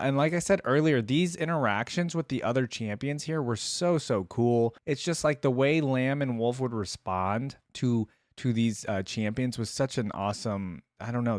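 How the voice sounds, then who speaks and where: A man's voice: medium pitch, no room sound, studio quality. A man giving casual reaction commentary as a video host, musing aloud and asking questions as he goes.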